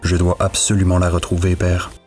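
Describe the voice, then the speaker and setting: A lovestruck, determined storybook prince, played by a man. His voice is low and very even, formal and resolute, with music behind. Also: fairly quick. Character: earnest, determined young prince in love. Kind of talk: acted fairy tale storybook.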